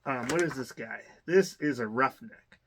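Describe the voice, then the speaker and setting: Bright-voiced man chatting through an unboxing. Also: medium pitch, animated, moderate pace, breathy edge. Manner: hesitant, rambling, thinking aloud. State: under the weather, occasional cough. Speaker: host of a collector's unboxing channel. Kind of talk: casual unboxing commentary.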